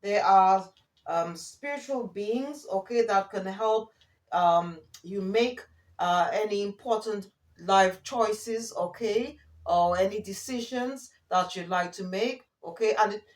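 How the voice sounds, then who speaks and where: A woman giving a spiritual card reading in a halting manner, often checking in with the listener as she reads and improvises. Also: mid-range voice. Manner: hesitant, halting, often checking in with okay and all right. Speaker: oracle card reader and spiritual guide. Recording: roomy echo, distant from the mic.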